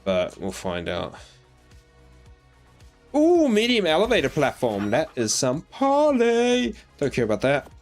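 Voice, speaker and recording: A man's voice: fairly high pitch, huge pitch swings, measured pace, soft background music. A man with an Australian accent chatting casually on a live game stream, rambling with lots of fillers as he answers his chat. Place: home in New Zealand.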